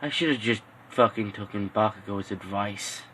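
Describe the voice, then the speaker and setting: A man's voice: lowish voice, moderate pace, bright, forceful tone, a little hiss or hum. A man voicing a dejected teenage boy in an amateur fan story, speaking with hesitation and self-doubt. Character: dejected teenage boy who dreamed of being a hero.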